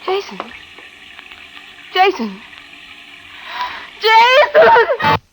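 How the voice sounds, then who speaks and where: A woman's voice: extremely high pitch, wildly swooping pitch, very slow, fairly bright tone, a little hiss or hum, exaggerated voice, rough recording. An actress playing a frightened but tough-talking young woman in a vintage radio mystery, slangy, sardonic and emotive. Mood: alarmed. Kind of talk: 1940s radio acting, first-person narration and dialogue. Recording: vintage radio drama with music behind.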